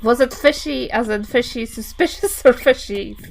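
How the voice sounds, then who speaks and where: A woman's voice: a little high, moderate pace, creaky, poor audio. A woman with a New Zealand accent chatting casually and playfully as a player in a tabletop game. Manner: playful, informal.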